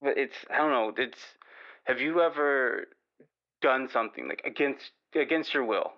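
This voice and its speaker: A man playing a nervous, self-doubting baker calling a radio show in an improvised audio drama, halting, rambling and apologetic, on a slightly muffled line. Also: mid-range voice, close to an everyday voice. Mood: conflicted, troubled. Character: nervous, self-doubting male baker who longs to be an artist.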